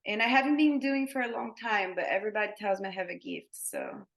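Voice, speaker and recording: Woman yoga teacher, chatty and halting. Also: medium pitch, sing-song, a bit bright. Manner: chatty, many fillers and restarts. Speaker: yoga teacher and Thai massage therapist, guest. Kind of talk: casual interview conversation.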